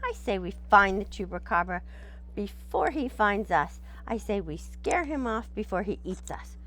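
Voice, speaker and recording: A woman's voice: mid-range voice, animated, moderate pace, fairly bright tone, natural voice. A woman voicing a clever, bold goat who takes charge, in an emphatic, rallying storybook delivery.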